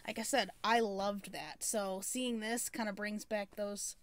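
A woman's voice: medium pitch, steady tone, a little slow. A woman in her thirties chatting casually as a co-host, in a loose way peppered with "like". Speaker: reaction show co-host. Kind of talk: casual chat. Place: small indoor room at home.

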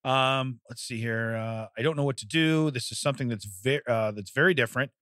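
A man co-hosting a comedy podcast, rambling through news stories and breaking into laughter as he reads. His voice sits at an ordinary middle pitch. The sound is close and dry, with no room around it. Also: fairly quick, a bit bright, no echo. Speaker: podcast co-host. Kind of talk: casual comedic podcast chat. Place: podcast studio. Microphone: studio microphone.

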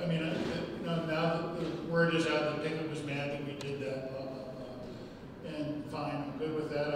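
A man's voice: a little high, background noise. Middle-aged male lecturer, wry and chatty, podium mic in a reverberant hall. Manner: wry, self-deprecating, conversational. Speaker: guest lecturer. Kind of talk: public lecture. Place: large hall with arched windows. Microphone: podium microphone. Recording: echoey hall, far from the mic.

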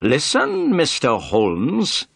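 A man's voice: sits high, big pitch swings, unhurried, no room sound, clean studio sound, pitched above the performer's own voice, full character voice. A man voicing a pompous, self-important police inspector in an audiobook detective story, with an insistent, brusque manner. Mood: angry, dismissive.

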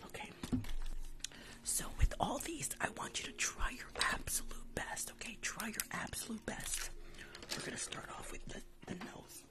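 A young woman in a playful, chatty ASMR medical roleplay, speaking in a breathy whisper close to the microphone and repeating words as she goes. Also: on the high side, very animated, normal speed. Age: young adult. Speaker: ASMR performer playing a doctor. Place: small room at home. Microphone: large desk microphone, close.

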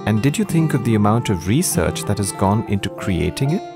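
A man's voice: on the low side, very animated, fairly quick. A man reading scripted narration for an educational documentary, with music behind him. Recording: music under the voice. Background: guitar music.

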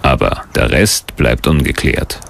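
A man's voice: very deep voice, unhurried, gravelly, background noise. A man reading scripted documentary narration as the narrator. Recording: music under the narration. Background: background music.

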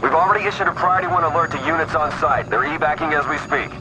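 An actor playing a stern military commander in a video game, giving clipped, authoritative orders over the radio. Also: male voice, a little high, fast, noisy background, lightly acted. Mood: businesslike. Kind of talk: dramatic video game voice acting.

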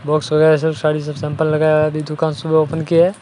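A man's voice: a little high, completely flat delivery, quick pace, mellow, slight background noise. A young man guiding viewers through a daily vlog, showing his way to the shop where he works and the shop itself. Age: young adult. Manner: plain tour-guide pointing out sights. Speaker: vlogger. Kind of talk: casual walk-through vlog. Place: walking from home to a sari shop, indoors and out. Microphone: handheld phone camera.

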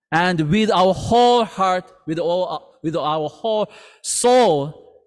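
Slow earnest pastor in a reverberant hall. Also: male voice, sits high, fairly bright tone. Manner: slow, earnest, with halting restarts. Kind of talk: sermon. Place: church sanctuary.

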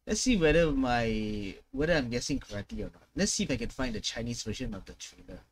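A man's voice: mid-range voice, sing-song, brisk, background noise. A man in his twenties giving casual reaction commentary, often hesitant as he thinks aloud. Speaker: gaming reaction video host. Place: small room at home.

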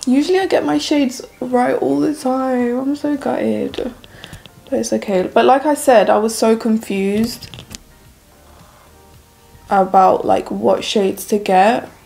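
A young woman in her twenties, a beauty vlogger chatting to camera while reviewing makeup, her voice croaky in the early morning. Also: fairly high pitch, steady tone, slow pace, mellow, faint music behind. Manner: chatty, rambling, addresses viewers as guys. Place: plain indoor room with plants.

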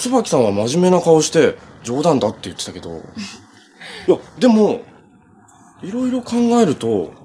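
A man's voice: medium pitch, a little mellow, lightly acted. A man acting as a kind, easygoing young hero in natural television drama dialogue. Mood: chatty, musing. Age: young adult. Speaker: actor in a television superhero drama. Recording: noisy TV clip audio with ambience.

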